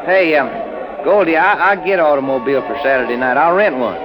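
A man's voice: on the high side, fairly quick, lo-fi sound, music in the background, natural voice. An actor playing an eager, girl-chasing American truck driver in old radio drama style, with folksy slangy speech. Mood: eager, coaxing. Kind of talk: 1940s-50s radio drama acting. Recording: noisy vintage radio recording.

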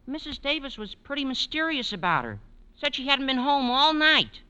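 An actor playing an earnest, gullible teenage boy with a squeaky adolescent voice in an old radio comedy. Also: sing-song, normal speed, pushed and bright, dull audio, exaggerated voice. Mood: earnest, disbelieving. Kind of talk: 1940s-50s radio comedy acting. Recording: old radio recording, heavy noise.

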